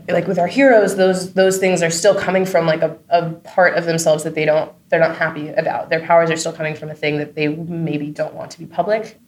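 Woman, low pitch, casual interview guest, chatty and informal, some background noise. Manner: chatty, informal, frequent "like" and tag "right?". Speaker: interview guest, audio drama creator and comedy performer. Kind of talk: casual spoken interview answers. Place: on location at a film festival.

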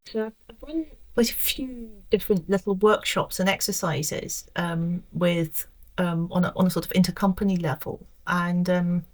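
A woman in her forties with an English accent and a slightly low voice, speaking as a guest on a professional podcast. She answers carefully and reflectively, pausing often with soft hesitations as she works through her thoughts. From Scotland. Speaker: pensions actuary, podcast guest.

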